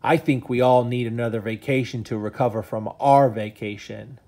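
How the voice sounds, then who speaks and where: A man's voice: medium pitch, fairly even intonation, normal speed, mellow, close to an everyday voice. A man reading aloud and voicing a nervous, fussy father bear in a storybook.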